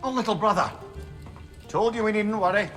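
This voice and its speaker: An actor playing a chirpy, carefree dwarf older brother in a fantasy video game. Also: male voice, high voice, moderate pace, a bit bright, soft background music. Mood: breezy, cheerful.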